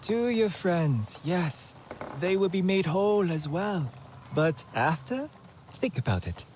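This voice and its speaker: Excitable alien healer, highish voice, slow halting delivery, theatrical, fretful, game character. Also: male voice, slight background noise, exaggerated voice. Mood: wistful, hopeful. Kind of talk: video game acting.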